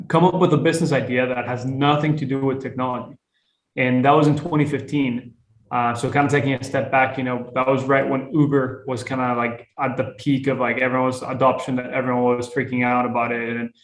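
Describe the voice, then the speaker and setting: A young man from Costa Rica talks through his story in a relaxed, meandering way, full of "um"s and restarts. His pitch barely moves. The echo of the room hangs around every phrase. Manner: relaxed, rambling with many fillers and restarts. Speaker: guest, tequila brand founder. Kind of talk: casual interview storytelling.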